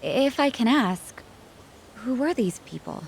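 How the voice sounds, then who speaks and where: An actress playing an earnest, supportive young woman in a game cutscene, sincere and expressive. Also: on the high side, slow, creaky, exaggerated voice. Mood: gentle, sincere. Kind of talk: video game cutscene acting.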